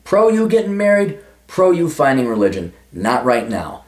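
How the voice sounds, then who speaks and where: A man's voice: medium pitch, huge pitch swings, normal speed, a little mellow. A man giving crude, emphatic advice to a listener and swearing freely. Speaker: advice vlogger answering a listener letter. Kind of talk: blunt, profane advice monologue. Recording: roomy echo.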